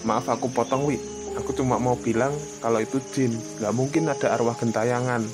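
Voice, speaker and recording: A man's voice: medium pitch, fairly even intonation, brisk, music in the background, lightly acted. A man voicing an anxious, protective young hiker in an Indonesian horror cartoon, speaking colloquially and earnestly with an Indonesian accent. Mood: earnest, firm. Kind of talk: animated horror story dialogue.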